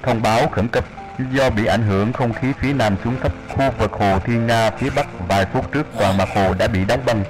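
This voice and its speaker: A man's voice: lowish voice, a little fast, faint music behind, close to an everyday voice, rough recording. A man playing a formal announcer reading an emergency bulletin in a cartoon. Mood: urgent, formal. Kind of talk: radio announcement.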